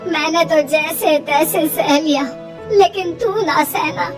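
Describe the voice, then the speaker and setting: Cartoon mother-in-law, woman performer: older, gentle, downtrodden, high register, music underneath. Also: takes it slowly, far from the mic, heavily acted. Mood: gentle, sad. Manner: pleading, motherly.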